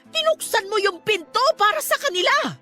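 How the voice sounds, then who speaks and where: A woman's voice: extremely high pitch, lively intonation, normal speed, pushed and bright, faint music behind, heavily acted. A woman playing a stern, devout, emphatic aunt in a Tagalog radio drama. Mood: angry, scolding. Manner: emphatic, emotional, scolding and pleading. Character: stern, devout aunt scolding then comforting her nephew.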